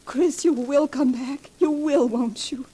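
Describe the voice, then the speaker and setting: High-voiced, clinging, overwrought young wife. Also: female voice, takes it slowly, dark tone. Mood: anxious, pleading. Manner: pleading, overwrought, theatrical. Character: possessive, frightened young wife feigning blindness, clinging and jealous. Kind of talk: 1940s radio melodrama acting. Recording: vintage 1940s radio recording.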